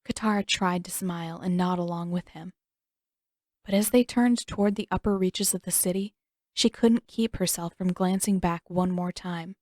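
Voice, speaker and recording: A woman reading her own fanfiction aloud as a podfic, voicing each character's lines with changed pitch. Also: darkish tone, no echo, studio quality. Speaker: podfic reader. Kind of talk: audiobook-style fanfiction reading.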